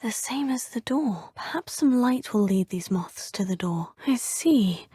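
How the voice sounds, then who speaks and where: A breathy, polite schoolgirl witch. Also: on the high side, lively intonation, measured pace, warm tone, no echo, studio quality. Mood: earnest. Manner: polite and formal, often murmuring to herself. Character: earnest, polite teenage girl witch student. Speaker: player character. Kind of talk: game voice lines.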